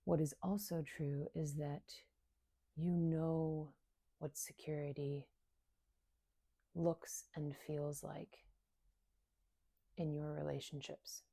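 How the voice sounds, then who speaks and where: A woman leading a guided meditation in a soft, soothing, unhurried way, leaving long pauses between short phrases. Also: low-pitched, little pitch movement, creaky, husky, dry recording. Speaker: meditation guide and somatic coach.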